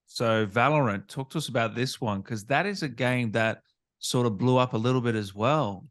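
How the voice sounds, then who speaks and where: A man's voice: medium pitch, normal speed. A man hosting a gaming channel interview in a casual, conversational style.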